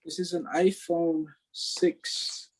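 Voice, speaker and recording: A young man with a fairly high voice that has a husky, slightly squeaky edge. He talks in a casual, slangy way and repeats phrases with animated energy. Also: slow pace, poor audio. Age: young adult. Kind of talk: casual chat.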